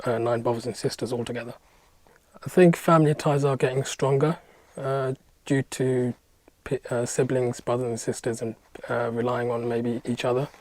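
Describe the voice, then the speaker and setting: Man, hesitant, monotone, dry sound. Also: medium pitch, no room sound. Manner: hesitant, halting. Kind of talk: casual spoken opinion.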